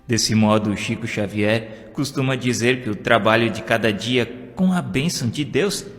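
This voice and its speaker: A man's voice: mid-range voice, normal speed. A Brazilian man reading a scripted story as an audiobook narrator. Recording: long hall reverb, music behind. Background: background music.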